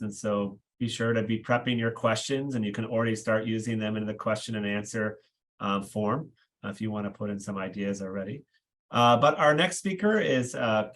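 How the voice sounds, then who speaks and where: A man moderating an online panel, introducing the next speaker with frequent fillers. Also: medium pitch.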